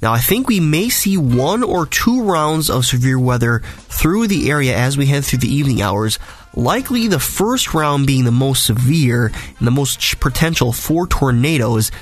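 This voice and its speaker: Raspy-voiced man giving a weather podcast. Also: medium pitch, animated, normal speed. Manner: conversational, detailed forecasting. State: raspy voice from a cold or allergies. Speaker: weather podcast host, meteorologist. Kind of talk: solo weather forecast podcast.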